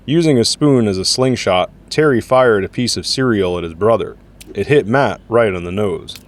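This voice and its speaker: A man narrates a story in a fairly low voice. His delivery is scripted, descriptive storytelling in the third person. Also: expressive, normal speed.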